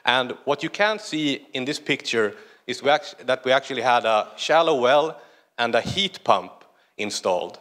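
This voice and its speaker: A man with a Swedish accent addresses a big conference hall through a speech microphone. His voice sits at an ordinary middle pitch, and his delivery stays conversational, with plenty of "uh" pauses between phrases. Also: animated, fairly bright tone. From northern Sweden. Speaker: conference speaker. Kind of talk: conference presentation. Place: large conference hall stage.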